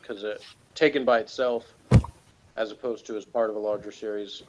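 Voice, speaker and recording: A man's voice: lowish voice, fairly even intonation, moderate pace, lo-fi sound, a little hiss or hum. A man from Texas chatting casually and unscripted as a co-host, rambling and correcting himself with a dry joking manner. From Texas, United States. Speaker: podcast co-host reviewing a TV episode.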